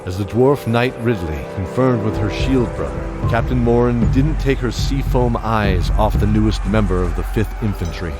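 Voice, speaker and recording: A man's voice: fairly low pitch, normal speed, hardly any pauses. A man narrating a scripted fantasy audio drama with dramatic storytelling. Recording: prominent music bed behind voice.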